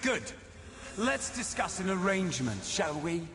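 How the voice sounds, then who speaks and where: Fantasy game villain: vengeful male demon lord, silky and menacing, very animated delivery, long echoing reverb. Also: sits high, slight background noise, heavily acted. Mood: smug menace. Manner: theatrical, silky, menacing, deliberate. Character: scheming, vengeful male daedric prince, theatrical and menacing. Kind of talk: scripted fantasy video game acting. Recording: long cavernous reverb, game ambience behind.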